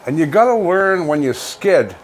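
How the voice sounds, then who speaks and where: A man's voice: a little high, sing-song, normal speed, rough recording. A middle-aged man with a Canadian accent, chatting casually in a folksy, talkative way as a local radio co-host. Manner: folksy, chatty, uses "eh" and "you know". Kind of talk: casual co-hosted chat. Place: home studio.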